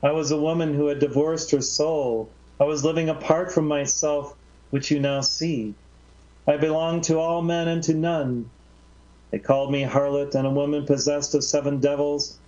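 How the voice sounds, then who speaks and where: A man reading aloud as Mary Magdalene, a grave woman recalling how she was transformed, in a measured, reverent voice. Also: a little high, little pitch movement, mellow, voice pushed up, natural voice. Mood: solemn. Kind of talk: slow solemn reading of a literary monologue. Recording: slightly dull lo-fi recording.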